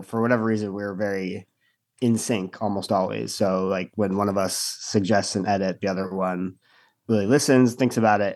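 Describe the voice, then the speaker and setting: A young man from Michigan, a guest in a radio interview, who talks hesitantly and fills his sentences with fillers. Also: on the low side.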